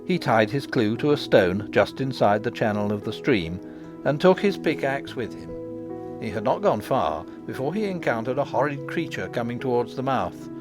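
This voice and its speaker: A man's voice: medium pitch, lively intonation, normal speed, music in the background. A man reading a children's fantasy novel as scripted audiobook narration. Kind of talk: scripted storybook narration with character voices.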